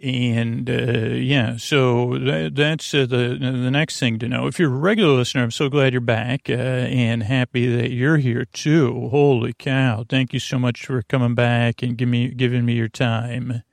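A man with a creaky voice hosting a sleep podcast, meandering and halting as he restarts his phrases. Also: mid-range voice, steady tone, dry recording, clean studio sound. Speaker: podcast host. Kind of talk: rambling sleep podcast monologue.

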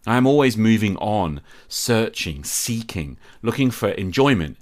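A man with a deep, low voice delivers a reflective scripted monologue. His tone is measured and candid, and he is openly critical of himself. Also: very animated. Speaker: podcast host.

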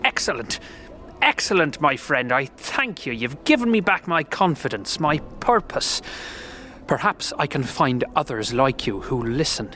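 Man acting an earnest, higher-voiced old man. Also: big pitch swings, bright, forceful tone, slight background noise, heavily acted. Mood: grateful. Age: older. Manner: slow, earnest, wistful. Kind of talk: fantasy audio drama acting. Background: music.

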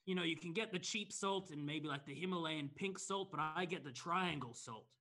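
A man's voice: a little high, moderate pace, sharp tone. A man playing a greedy, self-important motivational speaker who rambles earnestly. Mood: smug. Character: smug sleazy male motivational speaker, greedy.

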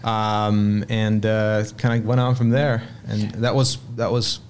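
Brief: late-30s Swedish-born man, low-pitched, unhurried storyteller, faint background hum. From Sweden. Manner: relaxed, reflective storytelling with frequent "uh" and repeated words. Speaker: restaurant owner, podcast guest. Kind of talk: casual interview conversation.

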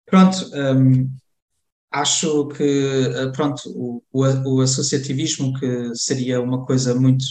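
A man's voice: mid-range voice, level tone, unhurried, darkish tone. Hesitant young man in a roomy space. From Germany. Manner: hesitant, many fillers and restarts. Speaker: interview guest. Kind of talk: casual conversation. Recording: roomy echo.

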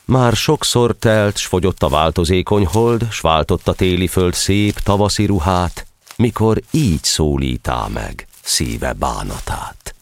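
A man narrating a verse audio drama as a dramatic storyteller, theatrical and expressive. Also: deep voice, slight background noise. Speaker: narrator and actor.

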